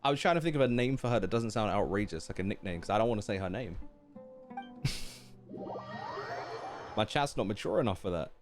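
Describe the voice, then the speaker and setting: A man's voice: lowish voice, expressive, normal speed, long pauses. A man live-streaming a game with casual, slangy reactions and jokey asides. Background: faint game music.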